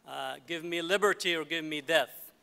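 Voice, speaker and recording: A man acting as master of ceremonies, formally introducing speakers in a somewhat halting way. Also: fairly high pitch, gravelly, bright, forceful tone. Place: large hall at a ceremony. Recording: reverberant hall.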